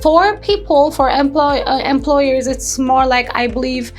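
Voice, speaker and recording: A woman's voice: high voice, a little slow, faint music behind. Earnest young woman. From Turkey. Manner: earnest, searching for words with many fillers and restarts. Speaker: intern and entrepreneur. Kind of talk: personal testimonial interview.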